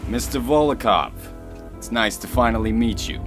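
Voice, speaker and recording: A man's voice: medium pitch, normal speed, over music, close to an everyday voice. A man playing a thick-skulled bodybuilder henchman, casual and plain-spoken, in a crime radio drama. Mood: businesslike. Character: thick-skulled bodybuilder mob henchman, doubtful but obedient.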